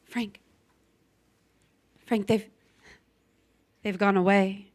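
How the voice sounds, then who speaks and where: An actress playing a proud, restless young wife in a stage drama, defensive and sharp-tongued. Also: female voice, mid-range voice, steady tone, very slow. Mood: anxious, yearning. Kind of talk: stage drama performed online.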